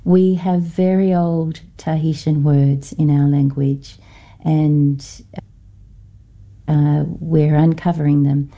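A woman from Norfolk Island with an Australian-influenced accent, a guest academic in a radio interview, speaking thoughtfully with many pauses and fillers. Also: low voice, dark tone.

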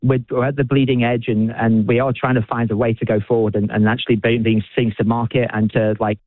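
A British physicist being interviewed on the radio, speaking with enthusiasm, halting often and restarting his sentences. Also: medium pitch, flat delivery, no echo. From United Kingdom. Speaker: physicist and researcher. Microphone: phone. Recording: dry tinny phone line.

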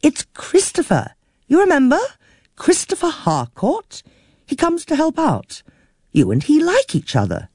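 A woman's voice: sits high, huge pitch swings, a little slow, a little mellow, no room sound, studio quality, pitched above the performer's own voice, exaggerated voice. A man voicing a cheerful, patient woman in her fifties, speaking politely in a storybook reading. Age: 50s. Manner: polite, coaxing. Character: patient, cheerful middle-aged niece.

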